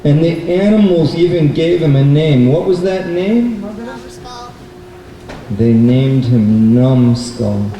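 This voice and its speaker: A man presenting a children's puppet show, telling a story deliberately to a live audience and putting questions to the kids. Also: on the high side, lively intonation, mellow. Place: indoor bookstore event, live. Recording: echoey room, distant mic, faint noise.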